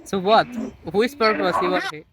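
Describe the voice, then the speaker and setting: A man's voice: high voice, moderate pace, heavy background noise. Hesitant young Bangladeshi man. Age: 20s. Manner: hesitant, stumbling, laughs often. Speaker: vlogger practicing English. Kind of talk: casual video chat.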